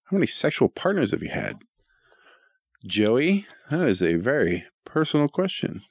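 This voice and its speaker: A man's voice: mid-range voice, big pitch swings, normal speed, slightly rough, no room sound, clean studio sound. A man co-hosting a podcast with casual, joking banter and an irreverent, profane way of talking. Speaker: podcast co-host reading listener questions.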